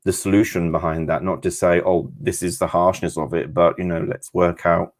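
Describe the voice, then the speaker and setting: A man who reads tarot, discussing the cards conversationally in a reflective, halting way. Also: low voice, flat delivery. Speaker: tarot reader.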